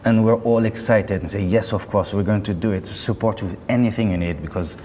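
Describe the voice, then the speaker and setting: Male company spokesman, deep-ish voice, conversational and self-correcting, recorded in a noisy reverberant show space. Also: dark tone. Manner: chatty, halting and self-correcting. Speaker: company representative being interviewed. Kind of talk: casual interview chat. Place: indoor hi-fi show. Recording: roomy hall, clear background noise.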